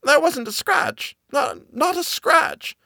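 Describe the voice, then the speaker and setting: Brief: male reader doing a jittery middle-aged housekeeper, high and halting, dry close sound. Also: huge pitch swings, gravelly, bright, forceful tone, dry recording, full character voice. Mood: fearful. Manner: halting, stammering, plain servant speech. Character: frightened old nanny, a middle-aged housekeeper woman.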